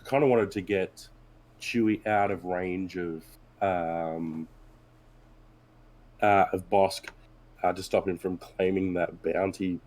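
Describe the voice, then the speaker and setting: Low-voiced man, sick and hoarse. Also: a little mellow. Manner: hesitant, apologetic, many fillers. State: sick, losing his voice. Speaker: tabletop game player. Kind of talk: post-game discussion.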